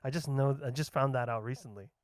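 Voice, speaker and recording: A man's voice: medium pitch, brisk, no room sound. A man from Los talks loosely in a podcast conversation. His voice sits in a middle register. He rambles and circles back with many fillers, and the recording is close and dry. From United States. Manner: rambling, lots of fillers and self-corrections. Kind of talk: casual chat.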